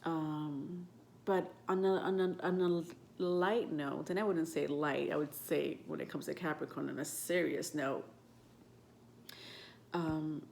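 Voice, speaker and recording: A woman's voice: low-pitched, steady tone, unhurried, creaky, darkish tone, a little hiss or hum. A woman with a stuffed-up nose talking casually to camera as a tarot and astrology vlogger, rambling with lots of ums and self-corrections.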